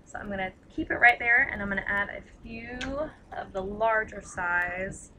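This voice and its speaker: A woman with a tired, slightly raspy voice chatting casually through a craft tutorial, rambling and self-deprecating as she works. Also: medium pitch, moderate pace, sharp tone, a little hiss or hum. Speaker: craft demonstrator.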